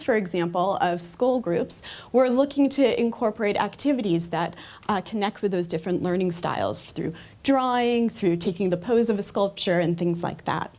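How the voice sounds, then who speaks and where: An American woman speaks as a museum educator on a panel, with an upbeat, explanatory manner. Her voice sits in a middle pitch. She addresses a live audience, with a few fillers along the way. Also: a little mellow. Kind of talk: presentation talk to an audience.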